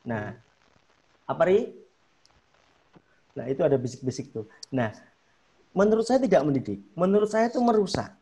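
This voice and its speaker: A man with a Javanese accent, a YouTuber guest giving casual advice in an online seminar, emphatic and animated when making a point. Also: on the high side, moderate pace, pauses often.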